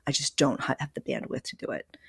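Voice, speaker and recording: A woman's voice: extremely low pitch, fast talker, gravelly, a bit bright, slightly rough, dry recording, clean studio sound. A woman hosting a podcast, talking in a candid, informal, conversational way. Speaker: podcast host.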